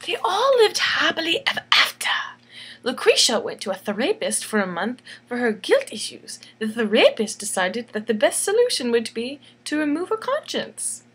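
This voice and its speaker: A woman's voice: high voice, wildly swooping pitch, normal speed, a bit bright, husky. A woman reading a bedtime story in a theatrical, tongue-in-cheek way, giggly and chatty, repeating phrases for emphasis. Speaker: storyteller and illustrator.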